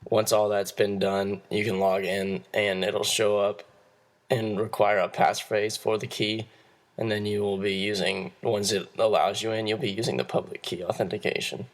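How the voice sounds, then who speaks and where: A hoarse young man, losing his voice, giving a class presentation and explaining steps in a halting way with frequent restarts. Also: on the low side. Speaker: student presenter.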